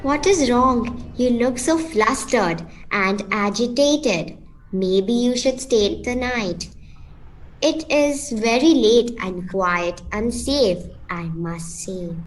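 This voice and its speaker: A woman playing a gracious, composed countess with a polite, measured manner in an amateur radio play. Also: a little high, lively intonation, a little hiss or hum, low-quality recording. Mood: concerned, insistent.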